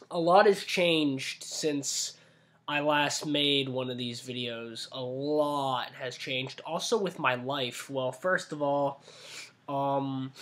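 A man's voice: medium pitch, fairly bright tone. Rambling nineteen-year-old man at webcam. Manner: rambling, self-correcting, many fillers. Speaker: art vlogger. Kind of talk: casual talk to webcam. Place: small room indoors. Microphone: webcam at desk.

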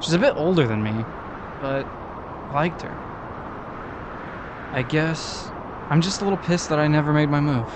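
A man playing an awkward, lonely young man, hesitant and halting, in a comic dub. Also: on the high side, noisy background, natural voice. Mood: sheepish. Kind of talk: amateur comic dub acting.

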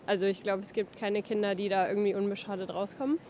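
A woman's voice: mid-range voice, little pitch movement, quick pace, darkish tone, a little hiss or hum. A young woman answering a street interview outdoors, thoughtful and hedging with fillers. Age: young adult. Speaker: passer-by interviewee.

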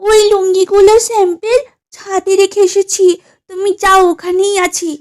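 A woman voicing an excitable, superstitious young housewife in a comedy cartoon, given to melodramatic exclamations. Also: very high voice, normal speed. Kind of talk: exaggerated comedy cartoon acting.

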